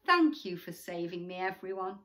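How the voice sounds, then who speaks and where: A woman's voice: on the low side, very animated, normal speed, fairly bright tone. A woman voicing a small young female fish in a bedtime story, eager, grateful and wide-eyed. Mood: grateful, excited.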